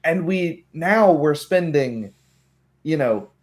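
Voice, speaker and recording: A man's voice: on the high side, animated, slow pace. A man talking casually as a game master, rambling and acting out imagined remarks as he goes. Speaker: game master of a tabletop roleplaying group. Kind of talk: casual chat about tabletop game rules.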